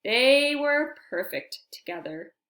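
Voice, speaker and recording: Slow, animated woman reading a storybook aloud. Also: sits high, roomy sound, a little hiss or hum. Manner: deliberate, animated phrasing with friendly asides to listeners. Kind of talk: expressive read-aloud storytelling for young children.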